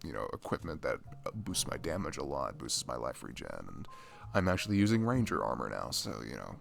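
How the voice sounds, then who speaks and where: A man's voice: extremely low pitch, big pitch swings, fairly quick, gravelly, a bit bright, faint music behind, pitched below the performer's own voice, exaggerated voice. A man playing a shy, dorky best friend in an ASMR roleplay, chatting casually in a rambling, stammering, nerdy way. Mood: nerdy, excited. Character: dorky shy young male best friend, playful gamer.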